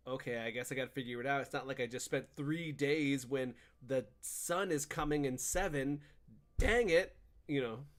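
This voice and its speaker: Male podcast co-host, mid pitch, informal and slangy, loose storytelling that slips into quoted dialogue. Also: moderate pace, fairly bright tone. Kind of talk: casual chat about video games.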